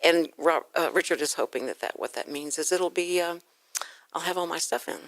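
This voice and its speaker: A woman's voice: low voice, a little fast, no echo. A woman hosting a casual radio interview in a studio, chatty and playfully self-deprecating, rambling through her questions close to the mic. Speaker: radio show host.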